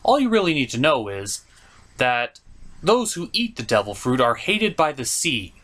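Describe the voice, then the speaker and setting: A man's voice: medium pitch, sing-song, moderate pace, fairly bright tone. A man delivering scripted review commentary with joking asides and an emphatic, mock-exasperated manner. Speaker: reviewer hosting an anime review show.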